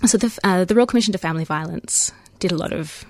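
Australian woman, 30s, low voice, measured radio guest, dry studio sound. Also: a little mellow, no echo. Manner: measured, explanatory, frequent ums. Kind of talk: radio interview.

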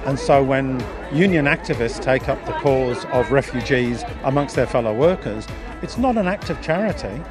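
A man's voice: medium pitch, lively intonation, normal speed. Man, rally speaker, impassioned, outdoor crowd, music behind. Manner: impassioned, declaiming. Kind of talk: protest speech.

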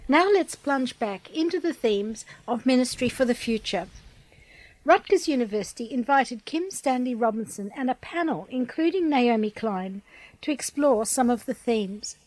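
Female radio host, slightly high voice, scripted presenting. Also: lively intonation, normal speed, a little mellow, no room sound, low-quality recording.

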